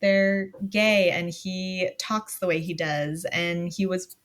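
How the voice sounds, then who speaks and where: A young woman from Pennsylvania co-hosting a book club podcast, talking in a relaxed, reflective way with frequent "like". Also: fairly low pitch, slow pace. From Pennsylvania, USA. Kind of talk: casual chat.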